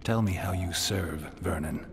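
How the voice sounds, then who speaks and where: An actor playing a gruff, hardened, sardonic monster hunter held prisoner in a video game, with a dry deadpan delivery. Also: male voice, low voice, unhurried, big-room sound, slight background noise. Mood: stubborn. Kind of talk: dramatic video game acting.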